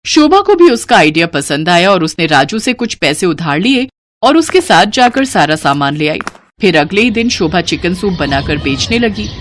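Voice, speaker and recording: A woman's voice: fairly low pitch, big pitch swings, normal speed. A woman reading a scripted moral story as its narrator.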